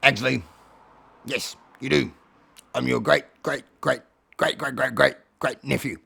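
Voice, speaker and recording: A man plays an indignant tomcat in a comic tall tale. The voice is gravelly with a sharp edge. He scolds bluntly, fussy and sweary, and repeats himself. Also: mid-range voice, measured pace, voice pushed down, heavily acted. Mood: firm. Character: grumpy, indignant male three-legged cat, fussy and sweary.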